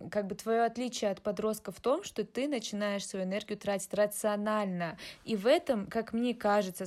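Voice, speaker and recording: Young woman host, casual chat, mid-pitched, reflective and measured. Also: darkish tone.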